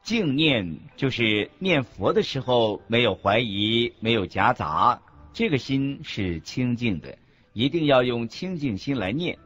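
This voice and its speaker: Husky, expressive Buddhist teacher. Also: male voice, mid-range voice, a little slow. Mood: earnest teaching. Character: Buddhist monk teacher recounting his teachings. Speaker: narrator of a Buddhist radio lecture, voicing a monk's teaching. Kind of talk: scripted radio narration of a religious teaching. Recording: dull lo-fi recording with faint music.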